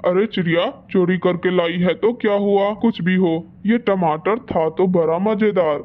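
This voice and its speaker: A woman's voice: on the low side, fairly even intonation, normal speed, lightly acted. A woman voicing a greedy, shameless male crow in a children's cartoon, carefree in manner. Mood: unbothered. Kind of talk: Hindi children's cartoon dialogue. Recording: thin phone-like sound.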